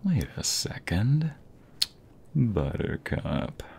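Man voicing a playful young husband, ASMR roleplay, deep breathy voice, slow drawn-out teasing, affectionate. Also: very animated, darkish tone, full character voice. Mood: puzzled, searching. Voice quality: breathy, often dropping to a whisper. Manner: teasing, affectionate, drawn-out delivery with pet names. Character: playful, doting young husband playing hide and seek.

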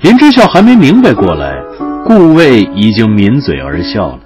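A man narrating a campus romance audio drama as a gentle storyteller, his phrases trailing off softly. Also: mid-range voice, huge pitch swings, normal speed. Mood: tender, dramatic. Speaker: narrator and actor. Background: music.

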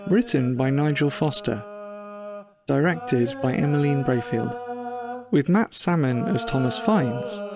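A man's voice: mid-range voice, expressive, takes it slowly, creaky, music in the background. A man reading scripted closing credits as the announcer of an audio drama.

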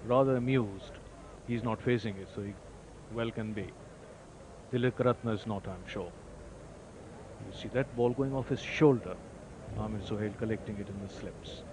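A man giving ball-by-ball cricket commentary, lifting his voice sharply when wickets fall. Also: on the low side. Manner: excited rises on wickets, measured otherwise. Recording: noisy old broadcast tape.

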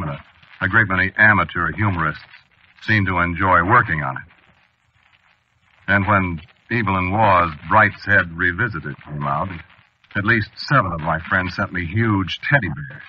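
An actor playing an anxious, haunted man confiding his obsession haltingly in a 1940s radio drama. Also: low voice, lightly acted. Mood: uneasy confiding. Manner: hesitant, rambling, halting confiding delivery. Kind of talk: 1940s radio drama acting, confessional narration. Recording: dull, noisy old radio recording.

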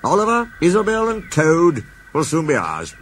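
A man's voice: a little high, huge pitch swings, normal speed, a bit bright, slight background noise, voice pushed up. A man playing the kindly railway boss. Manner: cheerful, grave, fond.

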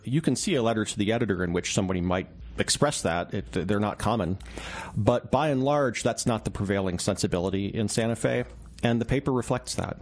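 Low-pitched man, measured and halting. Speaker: newspaper reporter, interview guest. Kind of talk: radio interview, casual and reflective.

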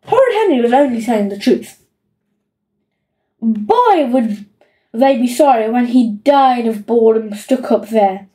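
A woman narrating a children's audiobook in an expressive storytelling style. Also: a little high, unhurried, pauses often.

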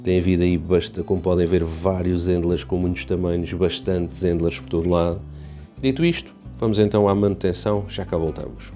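A deep-voiced man from Portugal with a European Portuguese accent talks in a relaxed, chatty way, often restarting his sentences. His tone is dark and mellow, and faint background music plays under him. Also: fairly quick. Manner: chatty, rambling, many restarts and fillers. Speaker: aquarium hobby youtuber. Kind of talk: casual walkthrough narration.

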